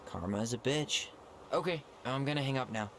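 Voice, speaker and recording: An actor playing an easygoing young American man in a video game, speaking casually and with gentle, understated humor. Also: medium pitch, fairly quick, background noise, close to an everyday voice. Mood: joking, warm. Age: young adult. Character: easygoing young man, twin brother leaving his childhood home. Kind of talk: naturalistic video game dialogue.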